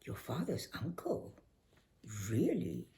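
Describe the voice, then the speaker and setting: An older woman voicing a confident, forthright young Indian schoolgirl in a memoir reading, brisk and emphatic. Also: extremely low pitch, huge pitch swings, dark tone, heavily acted. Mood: incredulous.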